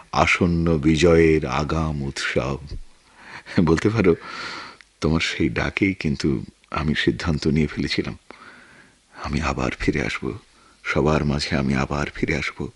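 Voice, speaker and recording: Very deep, husky war veteran. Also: male voice, no room sound, full character voice. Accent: Bangladeshi. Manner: measured, reflective, literary delivery. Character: earnest, wounded male war veteran turned writer. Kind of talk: Bengali radio drama acting.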